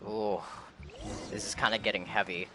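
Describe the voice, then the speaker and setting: A man's voice: fairly low pitch, steady tone, normal speed, very noisy. A man livestreaming a game with casual, playful, chatty reaction commentary. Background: game music.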